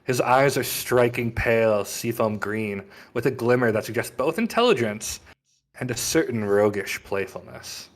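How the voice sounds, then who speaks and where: A man's voice: medium pitch, lively intonation, moderate pace. A man with a mid-range voice works as a game master. He narrates a tabletop roleplaying game, describing characters and scenes with dramatic, descriptive phrasing.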